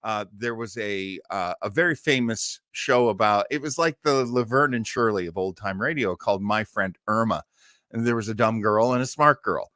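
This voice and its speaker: A man's voice: lowish voice, normal speed, pushed and bright, dry recording, clean studio sound. A middle-aged or older man hosting a live online listening party, chatting casually and enthusiastically like a devoted fan. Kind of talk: casual live chat introducing a radio cast.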